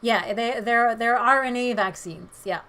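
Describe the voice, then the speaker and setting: A woman with a hoarse voice, chatting frankly with viewers during a livestream and giving her opinions with many pauses. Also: on the high side, a bit bright. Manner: frank, opinionated, hesitant fillers. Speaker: livestream host. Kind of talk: casual chat answering viewer comments.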